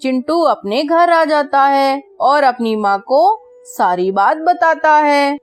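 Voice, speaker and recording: Slow, high-pitched woman narrating a children's story.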